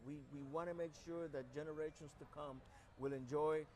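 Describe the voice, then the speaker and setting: A man's voice: a little high, steady tone, a little fast, mellow, slight background noise. A man originally from Cuba hosting an interview at an outdoor air show, speaking casually with frequent fillers. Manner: affirming, hesitant with fillers. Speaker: interview host.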